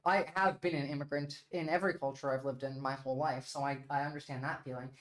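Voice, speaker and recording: A woman's voice: extremely low pitch, fairly even intonation, fast talker. A Turkish-born woman, debating her chat on a casual livestream. She argues bluntly and emphatically, peppering her points with "like". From Turkey. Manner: blunt, argumentative and emphatic, with frequent "like" fillers.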